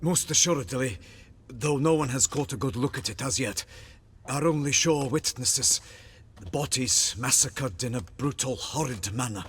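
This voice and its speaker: An actor playing a solemn knight errant in a fantasy game, speaking in a formal, florid, pompous manner. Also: male voice, mid-range voice, measured pace, a little hiss or hum, close to an everyday voice. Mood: formal, grave. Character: solemn, courtly male knight errant bearing a message. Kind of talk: cinematic fantasy game dialogue.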